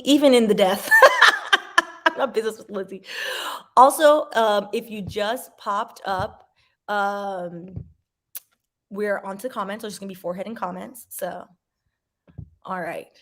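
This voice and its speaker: A woman in her forties casually chatting to viewers and reading comments, with animated emphasis and sudden jumps in pitch. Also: measured pace, pauses often. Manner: talks to viewers directly, sips wine.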